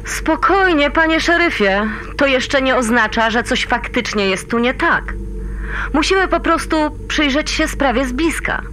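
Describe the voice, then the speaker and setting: An actress playing a wry, authoritative female mission controller in a sci-fi audio drama, talking in a brisk colloquial way. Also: high-pitched, expressive, sharp tone, slight background noise, exaggerated voice, rough recording. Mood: reassuring, wry.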